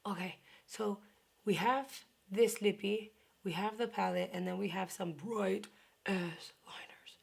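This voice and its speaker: A woman in her thirties chatting casually through a makeup unboxing, her voice raspy from a sore throat, sometimes dropping into whispered asides. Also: mid-range voice, measured pace. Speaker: beauty vlogger.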